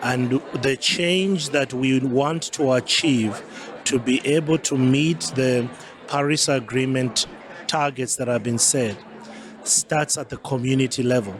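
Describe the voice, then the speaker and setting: A man's voice: mid-range voice, measured pace. Southern African man amid crowd chatter. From Zambia. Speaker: interview guest, climate change adaptation advisor. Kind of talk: spoken interview answers. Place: busy festival venue.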